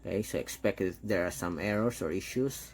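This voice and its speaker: A man giving a casual, hands-on product demo, talking step by step in short, halting phrases. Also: deep voice, slight background noise. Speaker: product reviewer.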